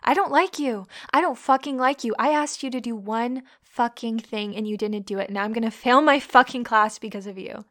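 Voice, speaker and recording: A woman's voice: a little high, fairly even intonation, a little slow, creaky. A young woman playing a scornful, bullying college woman who taunts and swears constantly. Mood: furious. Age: young adult. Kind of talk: audio roleplay.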